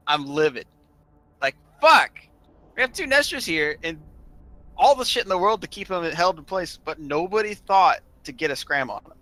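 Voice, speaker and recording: Guy w a highish bright punchy voice, casual storytelling, swears a lot, really emphatic. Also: no echo. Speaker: online gaming group member telling stories.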